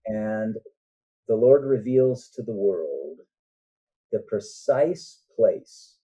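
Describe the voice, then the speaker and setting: A man's voice: fairly low pitch, expressive, very slow, warm tone, breathy edge, dull audio. An older man talking as a guest author in an interview, explaining his thoughts earnestly in a conversational way.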